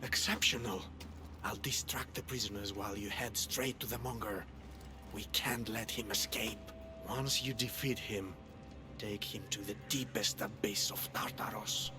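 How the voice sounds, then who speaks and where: Game casting: male actor as confident underworld schemer, fairly low voice, grandiose, score behind. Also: fairly even intonation, measured pace, over music, lightly acted. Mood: confident, conspiratorial. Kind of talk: video game cutscene acting.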